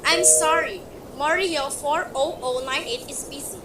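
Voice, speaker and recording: A woman's voice: sits high, normal speed, reverberant, heavy background noise, close to an everyday voice. A woman playing a polite, formal telephone operator in an amateur radio play.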